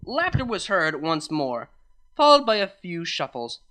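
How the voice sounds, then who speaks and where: A narrator reading fan fiction aloud in an animated storytelling style. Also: medium pitch, measured pace.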